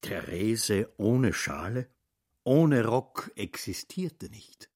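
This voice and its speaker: Self-important scholar in radio drama, slow raspy low voice, deliberate. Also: male voice, lively intonation, a little mellow, exaggerated voice. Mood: solemn. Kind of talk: radio play acting.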